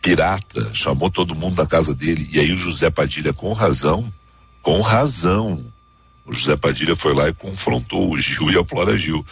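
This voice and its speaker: A man with an extremely deep, creaky voice and a southern Brazilian accent. He hosts a talk show with casual, emphatic opinions and frequent repetition. The sound is a little dull and muffled. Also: moderate pace. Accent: southern Brazilian Portuguese. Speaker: radio talk show host. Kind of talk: casual opinionated on-air commentary.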